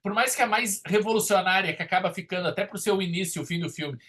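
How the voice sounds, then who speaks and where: A man's voice: high-pitched, quick pace, pushed and bright. A man with a Brazilian accent co-hosting a film podcast, talking casually in an enthusiastic, rambling way.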